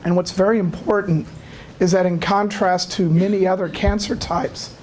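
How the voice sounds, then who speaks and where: A man giving a lecture, hoarse from a cold, halting often with filler sounds and clearing his throat. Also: high-pitched, fairly even intonation, a little mellow, a little hiss or hum. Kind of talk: academic lecture.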